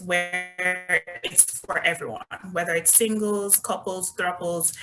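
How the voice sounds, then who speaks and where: Bright-voiced Jamaican woman giving a pitch. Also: a little hiss or hum, low-quality recording. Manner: upbeat, chatty sales pitch with fillers. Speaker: resort business development manager. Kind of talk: online travel presentation.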